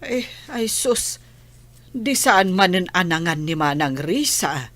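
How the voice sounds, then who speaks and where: A woman's voice: fairly low pitch, sing-song, slow pace, fairly bright tone, exaggerated voice. A woman acting a bossy, fussing village auntie in an Ilocano radio drama, with a Filipino accent. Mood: concerned.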